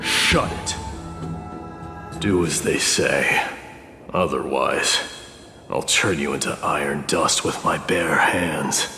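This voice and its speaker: A man playing a proud, gruff warrior lord in a video game, stern, contemptuous and threatening. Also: a bass voice, slow, pauses often, bright, forceful tone, heavily acted. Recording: echoey hall reverb, music underneath.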